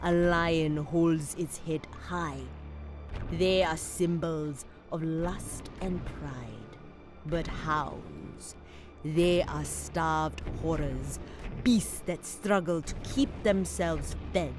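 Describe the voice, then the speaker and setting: An actress playing a cold, ominous female cult leader, giving a solemn, measured audio drama monologue. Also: low-pitched, over music.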